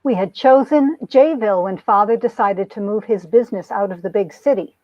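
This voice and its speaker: A woman's voice: medium pitch, brisk, no room sound, lightly acted. A woman playing an earnest young small-town heroine telling her story in a romance comic reading, on dull lo-fi audio. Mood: reflective.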